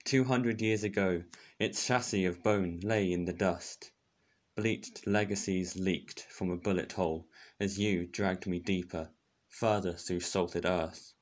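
A man's voice: deep voice, steady tone, unhurried. A young man introducing and reading his own poem. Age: young adult. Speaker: poet.

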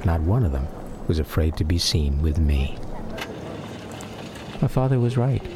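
An American man giving a measured, reflective first-person narration for a radio documentary drama. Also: rumbling low, sing-song, noisy background.

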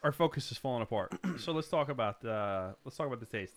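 Fast-talking, wry man. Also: medium pitch, lively intonation. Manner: wry, teasing, profane. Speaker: podcast host. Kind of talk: casual banter, beer tasting chat.

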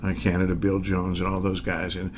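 A lowish-voiced man in his sixties tells stories about his career as a magician. His speech is hesitant and reflective, full of pauses and fillers, with occasional chuckles. Faint background noise sits under the conversation. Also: completely flat delivery. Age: 60s. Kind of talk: relaxed storytelling in an interview.

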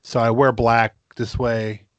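A man co-hosting a casual comedy podcast, crude and boastful, with slow, emphatic bragging. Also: mid-range voice, little pitch movement, no room sound. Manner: crude, boastful mock-macho bits, slow emphatic delivery. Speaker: podcast co-host.

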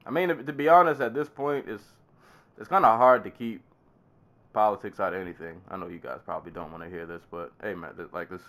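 A man's voice: lowish voice, fast talker, fairly bright tone. A man hosting a casual podcast while congested with a summer cold, laughing and coughing often. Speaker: podcast host.

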